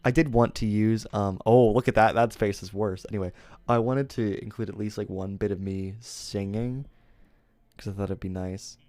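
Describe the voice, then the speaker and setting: A man's voice: lowish voice, fairly even intonation, moderate pace, a little mellow, dry recording. A young man streaming, chatting casually and rambling, often correcting himself and laughing.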